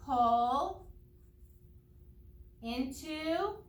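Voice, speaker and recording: A woman teacher reading aloud to children and explaining each step in a deliberate, instructive way. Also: high voice, lively intonation. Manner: deliberate, explanatory, spelling out steps for young pupils. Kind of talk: children's read-aloud lesson with think-aloud commentary. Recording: roomy echo, distant from microphone.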